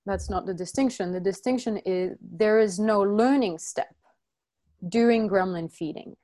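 Woman, from France, workshop facilitator, halting, frequent restarts, explaining slowly. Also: mid-range voice, dark tone. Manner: halting, many restarts and self-corrections. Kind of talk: teaching a group, reading from notes.

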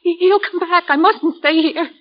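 Terrified, high-voiced fragile widow. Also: female voice, moderate pace. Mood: frightened, pleading. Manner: stammering, breathless, pleading. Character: terrified, fragile widow haunted by her drowned husband. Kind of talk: 1940s radio acting. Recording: dull lo-fi 1940s radio recording.

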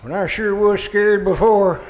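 Man playing a high, slow, folksy caretaker. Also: poor audio. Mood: timid, relieved. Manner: hesitant, rustic folksy phrasing. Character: nervous, folksy rural male caretaker. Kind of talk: old-time radio sitcom acting. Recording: live stage recording, faint noise.

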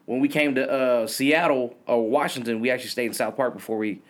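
A man's voice: medium pitch, fairly even intonation, brisk. Laid-back slangy man telling stories. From Vietnam. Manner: laid-back slangy storytelling, laughs often. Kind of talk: casual chat.

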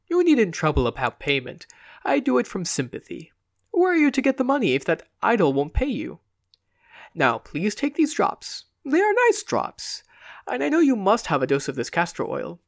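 A woman's voice: medium pitch, vocal fry, no echo, studio quality, pitched above the performer's own voice, full character voice. A woman doing a fussy, coaxing male hairdresser. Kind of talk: dramatic audiobook reading.

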